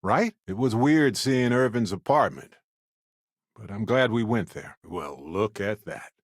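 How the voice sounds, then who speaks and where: Expressive, lively male space explorer. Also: lowish voice, unhurried, no echo, clean studio sound. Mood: earnest. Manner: conversational, expressive, reflective. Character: warm, talkative male space explorer companion, earnest and wry. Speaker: video game voice actor. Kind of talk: video game companion dialogue lines.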